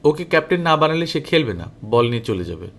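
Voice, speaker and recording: A man's voice: fairly high pitch, very animated, fast, a bit bright. A man reading the part of a combative, hot-tempered boy in a storybook. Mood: threatening, stubborn. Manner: combative, argumentative. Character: brash, hot-tempered boy with an amputated hand. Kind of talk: storybook character voice.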